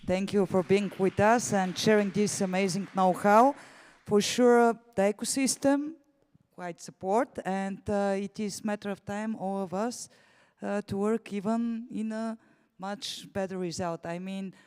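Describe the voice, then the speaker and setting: A man moderating a conference session in halting, effusive English with non-native phrasing. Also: high-pitched, a little mellow, clear, resonant tone. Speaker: event moderator. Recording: reverberant conference hall.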